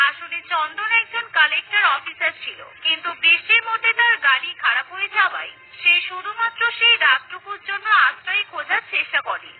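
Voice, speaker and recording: A woman giving scripted storybook narration for a children's cartoon in a steady, even storytelling manner. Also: extremely high pitch. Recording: tinny phone-like sound.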